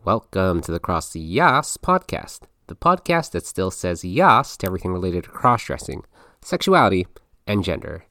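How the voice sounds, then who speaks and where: A man's voice: sits low, big pitch swings, moderate pace, pushed and bright, dry recording, studio quality. A man hosting a podcast in a chatty, giggly way, with plenty of ums. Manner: chatty, giggly, lots of fillers. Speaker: podcast host. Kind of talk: casual podcast chat.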